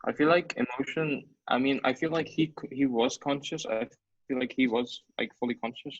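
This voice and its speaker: A man's voice: medium pitch, fairly even intonation, normal speed, no room sound. A young man in a casual podcast discussion, repeatedly hedging his points. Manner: repetitive, hedging. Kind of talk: casual discussion on video call. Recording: dry, dull lo-fi mic.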